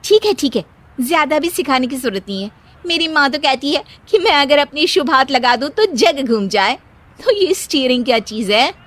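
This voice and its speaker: A woman's voice: high-pitched, expressive, normal speed, fairly bright tone, a little hiss or hum. A woman playing a proud, bossy young daughter-in-law in a family comedy story, boastful and scolding. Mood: stubborn.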